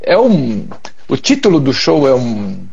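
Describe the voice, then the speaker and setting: A man's voice: medium pitch, wildly swooping pitch, measured pace, vocal fry, a little mellow, husky. A Brazilian man hosting a casual interview, speaking hesitantly and searching for words, on lo-fi livestream audio.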